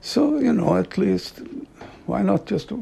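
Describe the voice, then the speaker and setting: A middle-aged man from Sweden, an author and devotee, talking reflectively in a slow, halting way with frequent soft laughs. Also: high voice, lively intonation, vocal fry, breathy edge. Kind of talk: reflective monologue.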